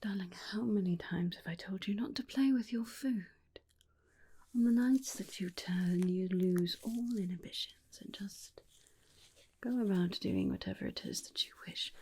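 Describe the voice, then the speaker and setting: A woman voicing a tender, protective, faintly menacing vampire companion in a soft, soothing ASMR roleplay whisper. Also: medium pitch, breathy edge. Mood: gently scolding. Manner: slow, soothing, coaxing with endearments. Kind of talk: ASMR roleplay, personal attention.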